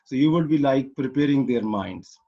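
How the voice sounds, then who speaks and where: A man from Pakistan teaches English online, talking through each step in a mid-range voice. He fills pauses with "uh" and often restarts sentences as he explains. The call audio sounds a little dull and muffled. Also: medium pitch, expressive. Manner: explanatory, with frequent fillers and restarts. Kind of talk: online lecture.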